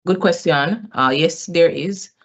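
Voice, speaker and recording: Through slightly dull, lo-fi call audio, a company executive speaks at a middling pitch. The delivery is explanatory, with formal business wording, answering questions on an earnings call. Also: slow pace, fairly bright tone.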